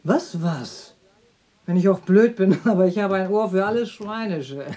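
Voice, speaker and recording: A woman's voice: medium pitch, lively intonation, unhurried, dark tone. An actress playing an earthy, mocking, pious servant woman in a radio drama, scolding and down-to-earth. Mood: indignant, nosy. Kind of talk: German radio drama acting.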